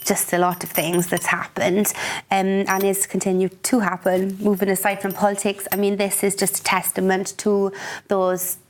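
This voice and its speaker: A woman's voice: medium pitch, completely flat delivery, moderate pace, slight background noise. A woman from Wales, a spoken word poet talking through her poem in an earnest, thoughtful way. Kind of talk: reflective chat about her poem.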